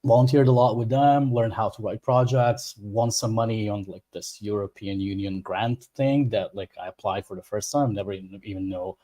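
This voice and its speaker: Low-voiced man from Bosnia, relaxed guest. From Bosnia and Herzegovina. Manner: relaxed, laughs easily, halting restarts. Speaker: guest expert. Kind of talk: casual interview.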